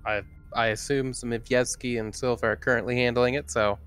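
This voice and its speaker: A man's voice: mid-range voice, little pitch movement, normal speed, a bit bright. Male tabletop player with music behind. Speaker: player in a tabletop roleplaying game. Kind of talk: casual game-table talk.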